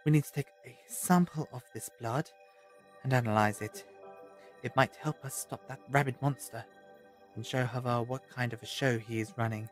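A man plays a quirky adventurer scientist in an audio drama. His mid-pitched voice is teasing and assertive, with soft music underneath. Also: fairly even intonation, moderate pace, lightly acted. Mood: playful, assertive.

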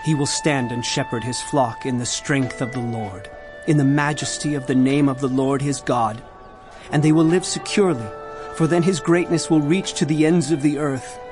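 A man's voice: mid-range voice, fairly even intonation, moderate pace, close to an everyday voice. An actor playing an earnest male prophet in a dramatized audio Bible, declaiming solemnly to his people. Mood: solemn, proclaiming. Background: dramatic music.

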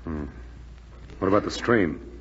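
An actor playing a stern, worried American lieutenant in a 1940s radio drama, speaking curtly and with command. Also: male voice, sits low, a little slow, a little hiss or hum. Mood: brisk, concerned. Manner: curt, commanding. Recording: muffled, echoey 1940s radio recording.

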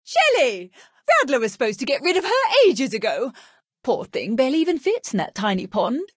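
An actress playing a facility scientist in a video game, explaining things in an expressive, dramatic way. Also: female voice, sits high, moderate pace, breathy edge, no echo, studio quality, full character voice. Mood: concerned. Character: female facility scientist explaining dire problems.

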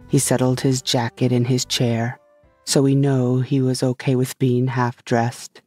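An audio drama portrait of an unhinged ex-wife, acted by a woman, low and unhurried. She rambles in a husky, sing-song way, sweet and paranoid, with faint music behind her. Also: a little mellow, heavily acted. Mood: dreamy, reminiscing.

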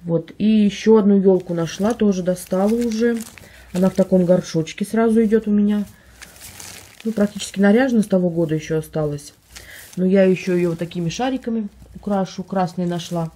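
A woman vlogger with a slightly hoarse voice from a cold, chatting casually at home and describing items she holds up for a phone camera. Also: mid-range voice, brisk, dark tone. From Russia. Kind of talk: casual show-and-tell vlog. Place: at home, indoors.

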